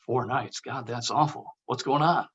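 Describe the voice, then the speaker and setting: A man's voice: mid-range voice, moderate pace, a bit bright, breathy edge. A man playing an older actor, a blunt, teasing but caring old friend, in a conversational table read. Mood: concerned. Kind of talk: casual table read of a comedy-drama script.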